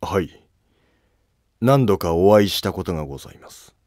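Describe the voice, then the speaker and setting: A man's voice: sits low, animated, normal speed, a little mellow, dry recording, clean studio sound. A man playing a loyal, earnest court official with humble, formal speech in a historical drama. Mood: earnest, cautious. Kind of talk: scripted historical drama dialogue.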